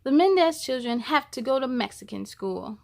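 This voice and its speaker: A woman's voice: sits high, expressive, normal speed, gravelly. A woman storyteller voicing a strict, curt school secretary in a children's picture book. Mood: stern.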